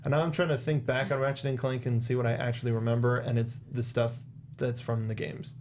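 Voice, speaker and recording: A young man hosting a movie discussion, talking casually with halting, repeated words into a close studio microphone. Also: medium pitch, level tone, a little mellow, slight background noise. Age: young adult. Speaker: show host. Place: small indoor podcast studio. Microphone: close studio microphone with foam cover, headset monitoring.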